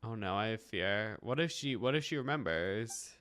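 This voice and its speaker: A man's voice: fairly low pitch, lively intonation, normal speed, fairly bright tone, clear, resonant tone. A man giving casual game reaction commentary, muttering incredulous asides and repeating himself. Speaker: reaction video host. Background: faint game music.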